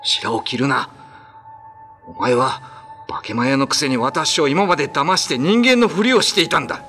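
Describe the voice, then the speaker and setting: A man's voice: mid-range voice, normal speed, sharp tone, soft background music, pitched above the performer's own voice, close to an everyday voice. A man playing a betrayed young husband, scornful and accusing, in a radio drama. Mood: furious, horrified. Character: betrayed husband, young man, furious and disgusted by monster wife.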